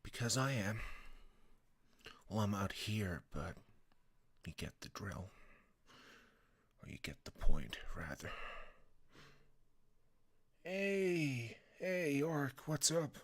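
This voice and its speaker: A man chatting casually to viewers on a live stream, rambling and halting with lots of fillers and restarts, sounding tired. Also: mid-range voice, animated.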